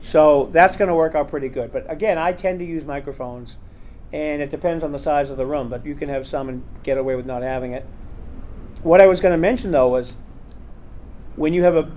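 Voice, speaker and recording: A man's voice: on the high side, a little mellow. A man hosting a convention panel, talking casually and rambling with lots of fillers. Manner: rambling, chatty, full of fillers. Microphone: recorder in the room.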